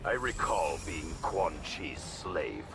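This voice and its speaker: A man playing a grim, honourable ninja grandmaster, grave and formal in fighting game dialogue, with a gravelly voice. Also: very animated, slow pace, full character voice. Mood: grim, challenging. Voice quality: very deep, gravelly. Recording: loud music and effects behind the voice.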